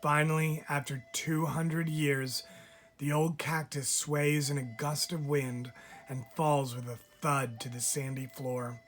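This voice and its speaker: A man reads a picture book aloud for children in a measured, expressive storytelling style. He leaves deliberate pauses between phrases, like a teacher reading to pupils. Faint music plays behind his voice. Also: a little high.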